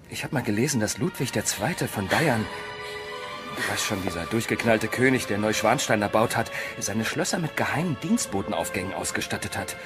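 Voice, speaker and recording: A man's voice: medium pitch, lightly acted. A man playing a sceptical, exasperated fugitive in a horror radio play. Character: sceptical, exasperated man wrongly accused of murder. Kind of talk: horror radio drama acting.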